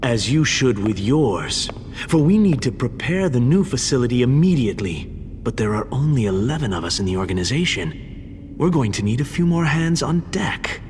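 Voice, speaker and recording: An actor playing a stern, aloof, dutiful officer in a video game, speaking formally and curtly with disapproval. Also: male voice, medium pitch, sing-song, normal speed, darkish tone, in a large hall, a little hiss or hum, natural voice. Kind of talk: video game cutscene acting.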